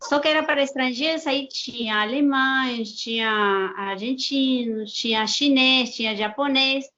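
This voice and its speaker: A woman's voice: high-pitched, pushed and bright. Peruvian woman, strong Spanish accent. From Peru. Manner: unhurried, halting with restarts and repeated words. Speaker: guest. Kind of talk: casual interview chat. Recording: dull lo-fi sound.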